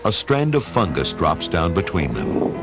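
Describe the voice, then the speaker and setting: A male narrator tells a scripted adventure story in a fairly low voice. His delivery is dramatic and very lively in intonation, with music clearly playing behind him. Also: measured pace. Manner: expressive storytelling.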